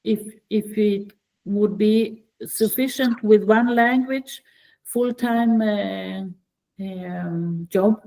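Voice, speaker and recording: A woman asks questions slowly and hesitantly, filling pauses with "uh" and "mm". Her voice is mellow and dark, and her pace is unhurried. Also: medium pitch, vocal fry, low-quality recording. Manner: hesitant, many "uh" and "mm" fillers. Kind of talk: conversational questions.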